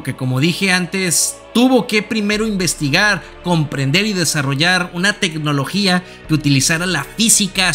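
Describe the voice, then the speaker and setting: A man's voice: fairly high pitch, sharp tone. A man narrating a scripted anime explainer in an emphatic way, with conversational asides addressed straight to viewers. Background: background music.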